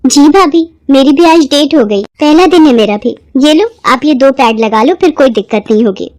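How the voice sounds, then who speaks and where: A woman plays a young, modern-minded bride in a dramatized moral tale. Her voice sits high and sounds dry and close. She speaks politely and in earnest. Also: animated, normal speed, a little mellow, dry recording. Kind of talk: Hindi moral-story dramatization.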